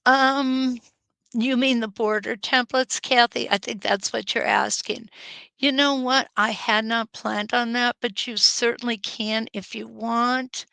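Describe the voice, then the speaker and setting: A woman's voice: a little high, fairly even intonation, unhurried, creaky, sharp tone, smooth, no room sound, rough recording. A woman with an Upper Peninsula Michigan accent, hosting a casual quilting live stream, chatty, laughing often and talking straight to viewers. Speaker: quilting teacher and show host.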